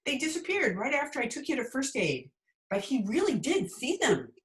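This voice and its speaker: Casting: actress as wisecracking schoolteacher, dry wit, higher pitch, expressive inflection, vintage radio sitcom. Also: female voice, normal speed. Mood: wry, covering up. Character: wry, quick-witted female schoolteacher covering for her boss. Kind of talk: old-time radio comedy acting.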